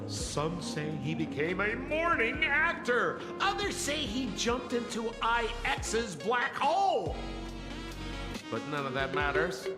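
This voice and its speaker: High-pitched, theatrical old gossip. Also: male voice, very animated, slow, exaggerated voice. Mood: theatrical, teasing. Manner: theatrical, teasing storyteller with dramatic exclamations. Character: excitable, theatrical old tavern gossip and wily informant. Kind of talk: animated video game acting. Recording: echoey, reverberant, over music.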